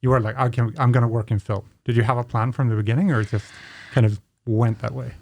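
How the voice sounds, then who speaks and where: A man's voice: medium pitch, steady tone, quick pace, a little mellow, slight background noise. A man in his thirties hosting a conversation, informally feeling his way through his questions. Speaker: podcast host, interviewer. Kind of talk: casual interview chat. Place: small indoor podcast studio. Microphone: studio mic on boom arm, headphones.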